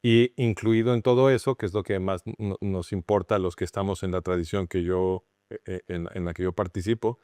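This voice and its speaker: A man's voice: low-pitched, steady tone, darkish tone, dry recording. A middle-aged Mexican man with a Mexican Spanish accent, an anthropologist guest who explains patiently and restarts phrases as he thinks. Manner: explanatory, measured with frequent restarts. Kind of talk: interview conversation.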